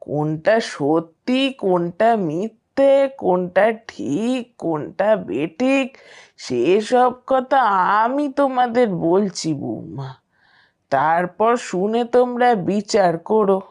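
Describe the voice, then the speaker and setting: A woman voicing a wise, calm elderly village grandmother in a cartoon, explaining gravely and with measured words. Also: mid-range voice. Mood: calm, firm. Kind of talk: cartoon voice acting.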